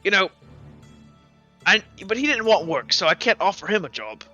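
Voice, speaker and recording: A man's voice: sits high, big pitch swings, normal speed, pushed and bright, lo-fi sound, soft background music, voice pushed up, exaggerated voice. Man playing a brash, foul-mouthed agency boss. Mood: cocky, dismissive. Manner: blunt, needling, cocky.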